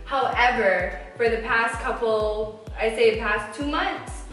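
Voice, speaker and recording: A woman's voice: on the high side, measured pace, a bit bright, soft background music. Young woman, Bajan accent, bubbly, giggly, echoey room, distant mic. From Barbados. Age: young adult. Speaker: co-host. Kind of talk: casual chat. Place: indoor living room, on a couch. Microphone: far camera mic.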